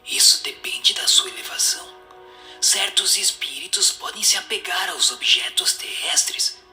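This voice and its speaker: A man's voice: high-pitched, lively intonation, unhurried, sharp tone, whispered. A man with a Brazilian accent giving answers in a solemn scripted, dramatized reading. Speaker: reader of the answers. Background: music.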